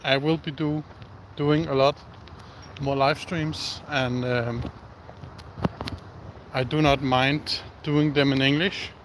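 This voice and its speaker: Slow, halting Danish man outdoors. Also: medium pitch, little pitch movement, fairly bright tone, a little hiss or hum, rough recording. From Denmark. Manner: slow, halting, many pauses and fillers. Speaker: live-streaming vlogger. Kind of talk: casual live-stream chat while walking outdoors. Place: outdoors in the countryside.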